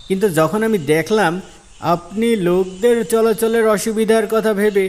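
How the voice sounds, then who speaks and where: A man's voice: high-pitched, close to an everyday voice. A man playing an earnest, respectful villager in a moral cartoon, explaining himself politely. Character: earnest, respectful male villager seeking a judge's ruling. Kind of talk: Islamic moral cartoon dialogue.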